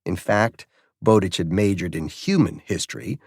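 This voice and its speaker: A man's voice: sits low, lively intonation, moderate pace, husky, no echo, clean studio sound. A man reading scripted narration of a mystery story as an audiobook narrator.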